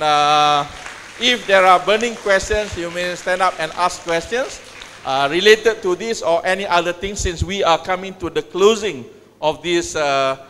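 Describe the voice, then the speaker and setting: A middle-aged man, giving a measured conference talk as a university head and taking questions, with frequent pauses. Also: sits high, a bit bright. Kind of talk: conference speech and Q&A moderation. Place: large university hall. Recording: long hall reverb.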